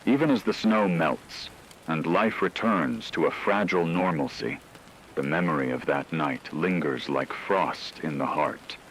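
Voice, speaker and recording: Man acting radio drama newscaster, deep voice, formal, foreboding narration, faint rain-like noise. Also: a little slow, a bit bright, rough recording. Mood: grave, ominous. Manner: grave, ominous, formal. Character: solemn male radio newscaster reporting supernatural terror. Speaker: radio news host.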